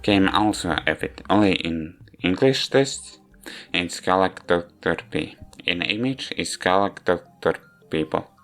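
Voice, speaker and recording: A man giving a rambling, halting spoken review of a visual novel in non-native English. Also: sits low, a bit bright. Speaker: video game reviewer. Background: background music.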